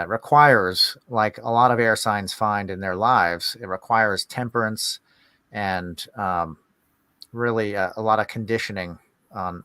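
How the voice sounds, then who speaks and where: A man hosting a conversational spiritual podcast, musing in a halting way as he searches for his words. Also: on the low side, pushed and bright, dry recording. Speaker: podcast host.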